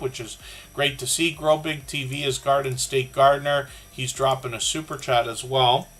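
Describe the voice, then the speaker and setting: A Canadian man hosts a casual livestream in a bright, forward voice with a slight scratchiness. He rambles amiably, speaking directly to the people in his chat. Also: medium pitch. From southern Ontario, Canada. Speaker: livestream host of a creator community channel.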